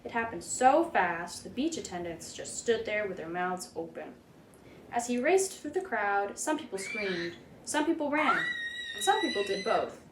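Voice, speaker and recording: Animated woman reading a children's storybook. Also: mid-range voice, a little slow. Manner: animated, dramatic read-aloud delivery. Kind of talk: expressive storybook narration for children. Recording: roomy echo, background noise.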